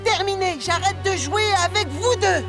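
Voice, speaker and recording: A woman voicing an earnest, friendly young tank engine in a French children's cartoon, expressive, with music behind. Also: extremely high pitch, moderate pace. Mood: earnest, rattled.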